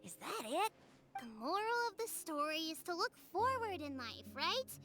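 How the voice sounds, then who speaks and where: A woman's voice: very high voice, very animated, normal speed. A woman voicing a cheeky, skeptical young child in a fantasy video game, blunt and doubting. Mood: skeptical, unimpressed. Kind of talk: video game character acting. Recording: game music behind the voice.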